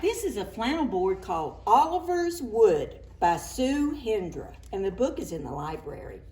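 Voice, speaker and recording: Animated sing-song woman reading to preschoolers. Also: medium pitch, normal speed. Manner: animated, sing-song, slips into soft whispers. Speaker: children's librarian. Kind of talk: preschool story time read-aloud.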